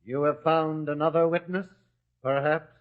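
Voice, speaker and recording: A principled councilman acted by a man who questions and challenges with reason in a biblical radio play. His voice is fairly high, on a slightly dull, lo-fi recording. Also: fairly even intonation, normal speed, natural voice. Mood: challenging. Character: principled male council elder questioning the trial. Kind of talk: biblical radio drama acting.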